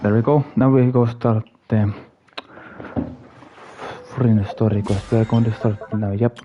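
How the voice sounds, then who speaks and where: A man's voice: on the low side, steady tone, normal speed, lo-fi sound. A man doing casual live gameplay commentary, blunt and muttering, often repeating himself. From Finland. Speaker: live game streamer.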